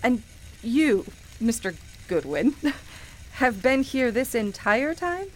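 A woman's voice: a little high, very animated, slow, rough recording. A woman playing a skeptical, polite and bemused city dweller in a radio play, with a hesitant, incredulous manner. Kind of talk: naturalistic radio play acting.

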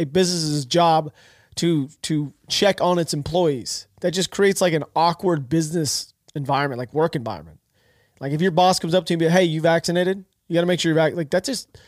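A man's voice: fairly high pitch, dry recording, clean studio sound. A man hosting a podcast, sounding nasal and stuffed up from allergies, talking through the news in a rambling, opinionated way with many restarts. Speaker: podcast host. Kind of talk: casual political commentary.

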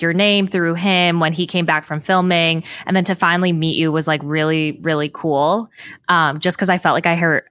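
A close, dry recording of a young woman with a slightly low voice. She hosts a podcast, chatting casually. Her delivery is enthusiastic and effusive. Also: fairly bright tone, clean tone, no echo. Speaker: podcast host. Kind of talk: casual chat.

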